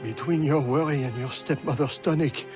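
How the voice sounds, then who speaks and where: A man's voice: mid-range voice, moderate pace, close to an everyday voice. An actor playing a frail, affectionate, sick old father, weak and ailing, in a game cutscene. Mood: weary, affectionate. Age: older. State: ill, coughing, weak. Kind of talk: dramatic video game cutscene acting. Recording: background music.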